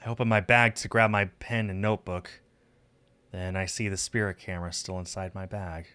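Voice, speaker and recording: A man's voice: low voice, fairly even intonation, moderate pace, pushed and bright, clear, resonant tone. A man streaming a visual novel, reading the narration aloud and adding casual asides. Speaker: game streamer. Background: music.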